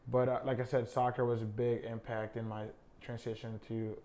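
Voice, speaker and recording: A young college soccer player from Honduras, a native Spanish speaker, talking casually in an interview with many fillers. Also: male voice, mid-range voice, normal speed, a little hiss or hum. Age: young adult. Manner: relaxed, many fillers like "I mean" and "uh".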